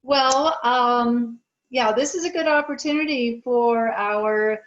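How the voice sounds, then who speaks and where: A woman moderates an online panel, speaking slowly and hesitantly in a somewhat high voice. The sound comes through dull and lo-fi, like video call audio. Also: a little hiss or hum.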